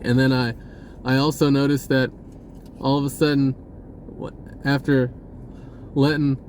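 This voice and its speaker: A man's voice: medium pitch, flat delivery, a little slow, a little mellow, a little hiss or hum. An American man telling personal stories casually and off the cuff as a self-help vlogger, rambling, slangy and often interrupting himself. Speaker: vlogger sharing spiritual self-help advice. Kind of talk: casual unscripted personal storytelling.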